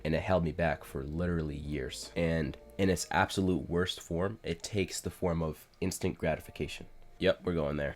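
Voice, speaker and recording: A man with a very low voice talks straight to his viewers in a laid-back explainer vlog. His voice is stuffed up and congested from a cold. He speaks conversationally and fills his sentences with "like" and "you know.". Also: darkish tone. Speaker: self-improvement YouTuber.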